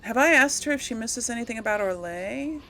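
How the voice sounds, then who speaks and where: A woman's voice: on the high side, expressive, brisk, sharp tone, a little hiss or hum, low-quality recording. A woman hosting a let's play, chatting casually and thinking aloud as she plays. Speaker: gaming video host.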